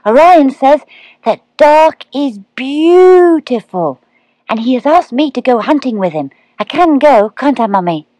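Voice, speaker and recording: A woman voicing a small, eager baby barn owl boy in a storybook reading, with a high, wide-eyed manner. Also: animated, measured pace, no room sound, poor audio.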